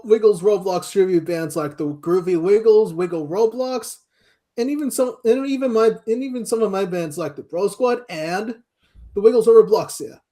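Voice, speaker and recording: A man speaking as an interview guest in a casual chat, with a halting, hesitant delivery. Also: high-pitched, dark tone. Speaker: interview guest, amateur voice actor.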